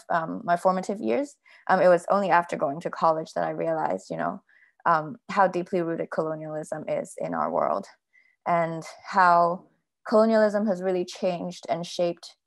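A woman from Hong Kong speaks in an online panel as a past workshop participant. Her voice is on the low side, and she talks in a measured, reflective way, pausing often with filler sounds. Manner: thoughtful, many ums.

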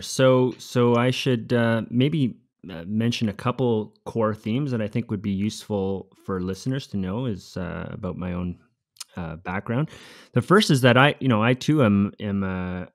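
A man's voice: on the low side, normal speed, no echo, studio quality. A man in his thirties, raised in Costa Rica, co-hosting a podcast as a professor, talking casually with many fillers. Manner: relaxed, loose, with frequent fillers and "you know". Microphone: studio microphone with headphones.

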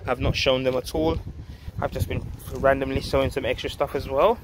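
Guy in his 30s doing a casual garden vlog, upbeat n emphatic, normal pitch, bit of outdoor traffic noise. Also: fairly even intonation, brisk. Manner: enthusiastic, emphatic, addresses viewers as guys. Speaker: vlog host, allotment gardener. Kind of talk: casual handheld garden update to viewers. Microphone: handheld phone at close range. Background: outdoor ambience, vehicle noise.

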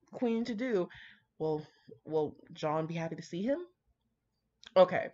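A woman rambling casually with dramatic asides, brash, slangy and full of swearing as she comments on fan fiction. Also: on the low side. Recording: dull lo-fi microphone.

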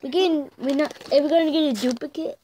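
A woman eagerly joining in opening toy packs with short excited remarks. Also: high voice, fast talker, a little hiss or hum. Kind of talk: casual unboxing chat. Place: indoors, toys on a pink blanket.

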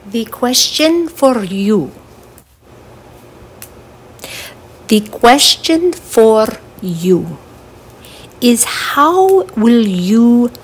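Woman playing a very slow, high-voiced eccentric fortune-teller. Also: exaggerated voice. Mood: solemn, whimsical. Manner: halting, repetitive, solemnly whimsical, drawn-out phrases. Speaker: astrologer giving a forecast. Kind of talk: slow theatrical spoken forecast.

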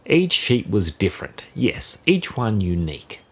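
A man narrating a rhyming children's story in an expressive, measured storytelling style. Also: lowish voice, very noisy. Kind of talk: scripted rhyming children's story read-aloud.

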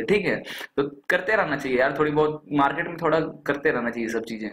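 A man's voice: medium pitch, a bit bright, slight background noise. An Indian-accented man with a cold hosts a job alert video, explaining casually and chattily, addressing viewers as friends. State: has a cold, coughing and throat clearing. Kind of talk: casual explainer over screen recording. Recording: echoey room.

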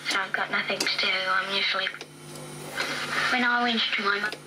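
A woman's voice: mid-range voice, steady tone, fairly quick. A woman answering interview questions in a hesitant, rambling way. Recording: loud hiss from old cassette.

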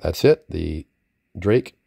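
Deep, gravelly man, casual and hesitant. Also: animated, slow, mellow, no echo. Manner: hesitant, rambling, chuckling self-deprecation. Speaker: vintage radio collector presenting a receiver. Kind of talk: casual unscripted product demonstration. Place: indoor room, at a desk with radio gear.

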